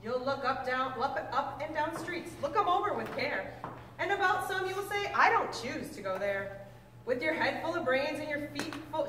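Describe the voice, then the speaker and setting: A woman reading a children's book aloud to a class, with expressive, deliberate storybook phrasing. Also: sits high. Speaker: teacher reading aloud to sixth grade students. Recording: echoey hall, distant mic, faint noise.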